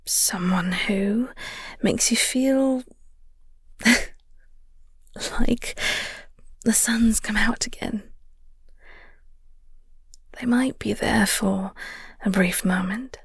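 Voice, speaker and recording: Woman acting a breathy, slow-talking flirty vampire woman. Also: medium pitch, no room sound, clean studio sound, full character voice. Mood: tender, consoling. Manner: breathy, soft, slow, half-whispered delivery; teasing, wry, elegant phrasing. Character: flirty, teasing vampire woman, a secretly caring work nemesis. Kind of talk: intimate audio roleplay spoken to the listener.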